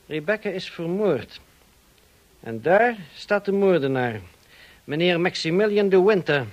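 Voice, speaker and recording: An actor in a radio play playing a smug, insinuating blackmailer with a mocking, drawling, falsely polite manner. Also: male voice, sits high, expressive, a little slow, muffled recording. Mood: accusing. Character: smug, insinuating male blackmailer, cousin of the dead woman.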